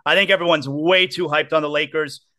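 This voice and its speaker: A man's voice: fairly high pitch, steady tone, brisk, pushed and bright. A man hosting a sports podcast, talking in a blunt and emphatic way. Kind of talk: casual sports chat.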